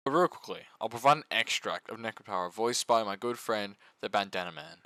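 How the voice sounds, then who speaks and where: A man's voice: on the low side, lively intonation, fairly quick, pushed and bright, no room sound. A man narrating a comedic scripted review as a video essayist, mock-serious, sarcastic and sweary.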